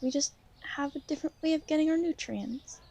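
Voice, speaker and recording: A woman playing a shy, gentle young vampire in an ASMR roleplay, speaking softly and hesitantly to the listener. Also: high-pitched. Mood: earnest, gentle. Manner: hesitant, soft-spoken, gently halting. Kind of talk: ASMR roleplay, soft intimate talk to the listener.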